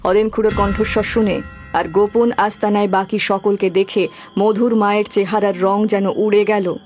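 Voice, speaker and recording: Female narrator for a horror cartoon; medium pitch, dramatic storytelling, music bed behind. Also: level tone, moderate pace, darkish tone. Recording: background music throughout.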